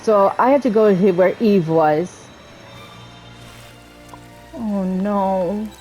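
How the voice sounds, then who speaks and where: A woman giving casual let's-play commentary, chatting and thinking out loud as she plays and reads bits aloud. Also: mid-range voice, extremely slow, faint music behind, low-quality recording. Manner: chatty, thinking out loud, trails off and repeats herself. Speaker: gamer playing and reading game dialogue aloud.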